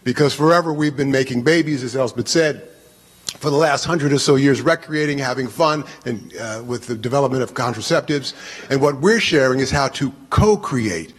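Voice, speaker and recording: A man co-presenting a talk to an audience, earnest and explanatory. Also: medium pitch. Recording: long hall reverb.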